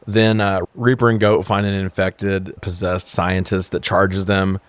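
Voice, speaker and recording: A man's voice: deep voice, little pitch movement, a little slow, clean tone, no room sound. A man co-hosting a casual movie-review show, recapping plots in a sweary, irreverent, joking way. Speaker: podcast co-host.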